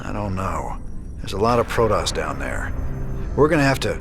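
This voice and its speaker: An actor playing a weary, brooding rebel space commander with a conscience, plain-spoken, with a Southern US accent, in a video game cutscene. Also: male voice, lowish voice, sing-song, moderate pace. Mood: wary, determined. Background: music.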